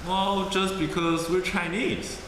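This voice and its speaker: An actor playing a blunt, teasing performer who speaks with dry deadpan delivery. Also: lightly acted. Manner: deadpan, blunt, slow and lively in pitch. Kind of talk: scripted film dialogue. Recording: very echoey room with clear noise.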